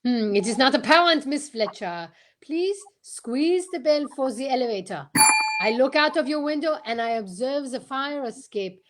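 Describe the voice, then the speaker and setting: A woman playing a pompous, courteous male detective, formal and deliberate, in old-time radio mystery style. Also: high voice, animated, slight background noise. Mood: explaining proudly. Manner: formal, deliberate, theatrical questioning.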